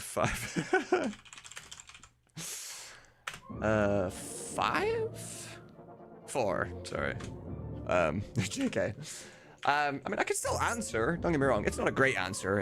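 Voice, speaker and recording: A man livestreaming in a casual, rambling, sweary chat, often amused by himself. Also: on the low side. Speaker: live streamer and game modder.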